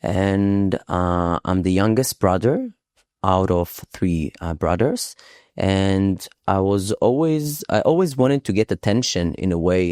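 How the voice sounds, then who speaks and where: A man speaks in a low, smooth, resonant voice. He talks slowly and reflectively, often pausing with fillers as he searches for words. The sound is dry and close, with no room around it. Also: darkish tone, dry recording. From Israel. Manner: slow, thoughtful, hesitant with many pauses and fillers. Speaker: podcast guest, psychodrama facilitator. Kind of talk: casual interview conversation. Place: Thailand island.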